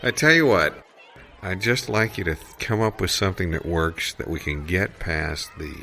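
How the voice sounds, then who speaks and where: A man playing an earnest, rambling school official in improvised comedy, with a halting, folksy way of talking. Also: deep voice, sharp tone, slight background noise. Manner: halting, folksy, self-correcting. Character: male school official running a mascot meeting, earnest, rambling.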